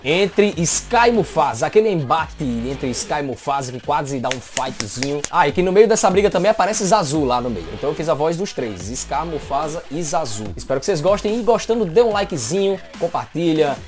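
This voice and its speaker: A man's voice: fairly high pitch, lively intonation, a little fast, few pauses, over music. A young adult Brazilian man talking casually as the host of a fan dubbing vlog, recorded indoors at home. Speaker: YouTube host and fan dubber. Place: small indoor home room.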